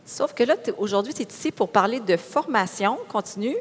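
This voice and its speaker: A woman's voice: lively intonation, fairly quick. A woman with a Quebec French accent moderating a conference panel in a conversational style. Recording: reverberant hall, noisy, low rumble.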